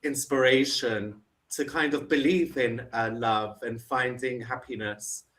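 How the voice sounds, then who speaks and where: A man's voice: mid-range voice, steady tone, unhurried, a bit bright. Friendly London man on a video call. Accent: London English. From England. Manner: friendly, encouraging, asks many questions. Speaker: online English tutor. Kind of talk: casual video call chat. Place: home in the UK.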